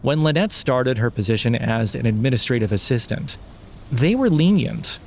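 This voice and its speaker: A man narrating a scripted audio drama in a measured storytelling delivery. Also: mid-range voice, animated, vocal fry, a little mellow, slight background noise.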